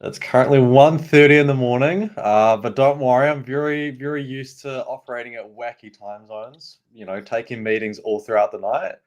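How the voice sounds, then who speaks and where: A young man from New Zealand with a New Zealand accent, a guest explaining markets in a relaxed, upbeat way. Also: mid-range voice, moderate pace, hardly any pauses. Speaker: guest analyst. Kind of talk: casual explanatory interview.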